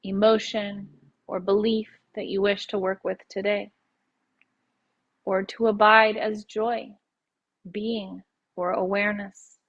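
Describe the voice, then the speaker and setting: A woman leading a guided yoga nidra meditation in a soothing, unhurried way, letting her phrases end softly. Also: medium pitch, dull audio.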